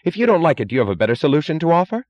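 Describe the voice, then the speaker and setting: Man putting on a flustered, high-pitched bureaucrat. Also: very animated, normal speed, a little mellow, dry recording, dull audio. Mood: urgent, agitated. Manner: urgent, gasping, flustered. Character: flustered, heedless male government official, anxious and evasive.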